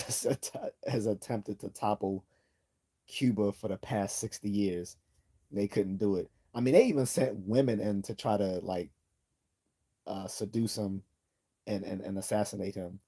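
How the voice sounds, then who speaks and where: A man hosting a casual livestream, reading viewer comments aloud and rambling with many restarts and throat clearing. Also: lowish voice, mellow. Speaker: livestream host.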